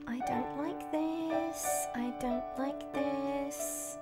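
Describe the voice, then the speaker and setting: A woman's voice: high-pitched, steady tone, extremely slow. A woman giving casual, nervous and hesitant commentary while she plays a horror game, often muttering. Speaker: gamer and voice actress. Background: game music.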